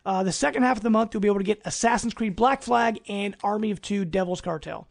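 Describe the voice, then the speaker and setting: A man's voice: high voice, quick pace, gravelly. A man hosting a gaming news podcast, presenting in an informal, conversational way. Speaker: podcast host.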